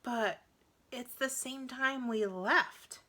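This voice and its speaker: A woman's voice: fairly high pitch, slow, sharp tone, pitched above the performer's own voice. A woman voicing a puzzled, wondering young boy in a children's storybook, with emphatic delivery. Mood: bewildered.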